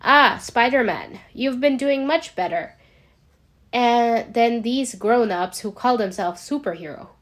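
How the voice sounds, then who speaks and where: A woman's voice: on the high side, a little slow, natural voice. A woman voicing an unflappable, commanding male spy chief with a dry, mocking manner in an audiobook.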